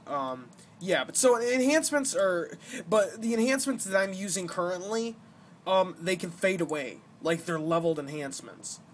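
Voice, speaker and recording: Young man, higher-pitched, casual gameplay commentary. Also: lively intonation, normal speed. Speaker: gaming video host. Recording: noisy amateur home recording.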